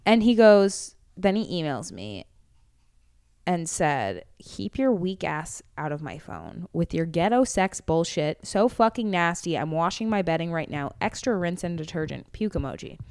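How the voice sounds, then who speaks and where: A young woman with a lower-pitched, smooth, clear voice. She co-hosts a casual chat, reading messages aloud in a mocking, theatrical tone. The sound is dry and close-miked. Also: darkish tone, no echo. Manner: dramatic, mocking readings with laughter. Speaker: podcast co-host.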